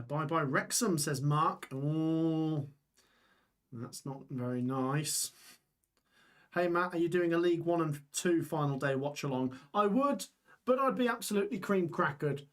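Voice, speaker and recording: A young man hosting a live football watch-along stream, reading out scores and chatting with viewers while his voice gets hoarse. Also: a little high, unhurried. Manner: chatty, reads scores aloud, reacts to chat. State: voice going hoarse.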